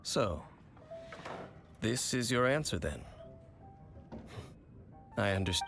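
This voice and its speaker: A brooding video game swordsman with a lowish voice. He speaks formally and with measured gravity, like someone weary of a long journey. Music plays behind him. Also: lively intonation, creaky, heavily acted. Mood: solemn, measured. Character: stoic, world-weary male wandering swordsman opposing the Abyss. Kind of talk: scripted video game dialogue.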